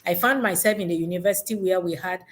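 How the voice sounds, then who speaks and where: A woman's voice: fairly low pitch, fast talker. Reflective woman chatting in an interview. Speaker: education consultant guest. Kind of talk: unscripted conversational interview answers.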